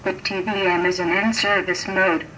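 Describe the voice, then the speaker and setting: A synthetic, robotic female voice giving formal, flat automated instructions as a ticket machine prompt. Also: mid-range voice, normal speed. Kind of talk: scripted automated audio instructions. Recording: noisy distant machine speaker.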